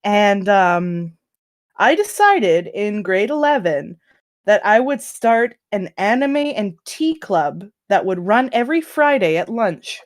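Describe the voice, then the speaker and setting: A woman's voice: medium pitch, very animated, slow. A woman on a casual group podcast, telling personal anecdotes in a self-deprecating, informal way. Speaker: podcast member.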